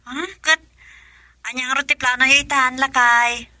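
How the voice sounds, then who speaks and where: A very bright, high-voiced Ilocano mother. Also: flat delivery, a little slow, no echo, full character voice. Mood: pleased. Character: middle-aged mother working abroad, agreeable, practical. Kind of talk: Ilocano radio drama acting.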